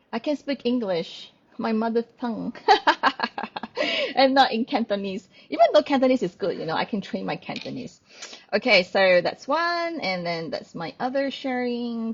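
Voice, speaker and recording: A woman's voice: a little high, lo-fi sound. A woman hosting a casual livestream, rambling along with plenty of fillers and restarts. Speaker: livestream host. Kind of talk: casual live-stream chat.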